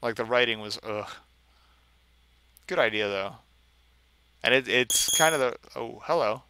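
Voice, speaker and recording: A man's voice: mid-range voice, unhurried, pushed and bright, a little hiss or hum, poor audio. A man doing casual, sarcastic and irreverent commentary while playing a video game. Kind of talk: casual mocking playthrough commentary.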